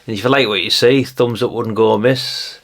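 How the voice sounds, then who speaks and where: A British man with a fairly low voice and a clear gravelly creak. He talks as a hobbyist host in a casual, rambling way. His phrasing is colloquial and chatty, with little questions tacked on the end of remarks. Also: steady tone, fairly quick, a little hiss or hum. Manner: chatty and colloquial, often adding tag questions. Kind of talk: casual rambling commentary while leafing through a brochure.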